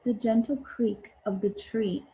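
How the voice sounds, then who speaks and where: A woman teacher reading a picture book aloud to young viewers, with deliberate, expressive phrasing. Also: a little high, background noise. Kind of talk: children's read-aloud, addressing young viewers.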